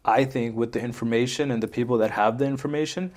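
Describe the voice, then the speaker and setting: A man of middling pitch hosts a podcast in easygoing conversation. His talk is loose and full of fillers, and he keeps checking in with his co-host as he goes. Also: quick pace, darkish tone. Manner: conversational, leans on "like" and "right?", repeats words. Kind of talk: casual podcast chat.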